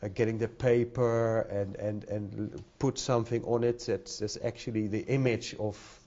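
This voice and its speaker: A man's voice: on the low side, little pitch movement, normal speed, warm tone. A middle-aged man with a Dutch accent giving a conference talk at a podium, hesitant, with many fillers and repeated words. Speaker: conference speaker. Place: conference hall with audience. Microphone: podium microphone. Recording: dull lo-fi sound.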